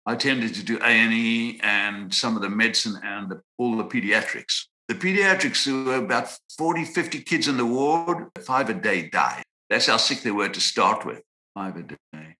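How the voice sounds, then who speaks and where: Bright-voiced thoughtful man in his sixties. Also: on the low side, expressive. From Zimbabwe. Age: 60s. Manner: measured, thoughtful, pauses and restarts. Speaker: guest psychiatrist. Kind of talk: reflective interview answers.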